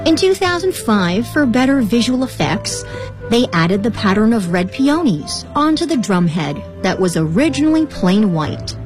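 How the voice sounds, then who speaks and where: A woman reading a scripted documentary narration in an expressive, lilting way. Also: fairly high pitch, moderate pace, soft background music.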